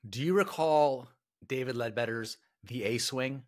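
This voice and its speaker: A man's voice: mid-range voice, unhurried. Brash, jokey male podcast host. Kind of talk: podcast interview hosting.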